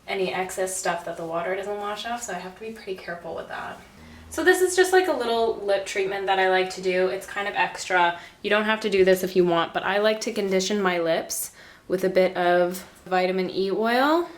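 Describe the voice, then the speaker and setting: A young woman with a stuffy, congested voice chats casually and self-deprecatingly to camera. She sounds set back from the mic in a roomy bathroom. Her pitch sits in a middle range. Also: normal speed. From Canada. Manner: chatty and self-deprecating, laughs at herself. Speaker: beauty vlogger. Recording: roomy, slightly distant audio.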